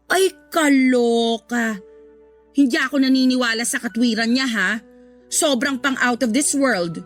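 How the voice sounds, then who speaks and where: An actress playing a sassy, indignant and supportive woman friend in a radio drama, talking colloquially with expressive exclamations. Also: fairly high pitch, measured pace, soft background music, close to an everyday voice. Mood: incredulous, supportive. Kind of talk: Tagalog radio drama acting.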